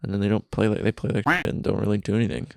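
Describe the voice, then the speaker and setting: A man's voice: fairly low pitch, very fast, gravelly, dry recording, studio quality. A man co-hosting a podcast in casual chat, wry and teasing, recorded in a friend's basement. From Britain. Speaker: podcast co-host.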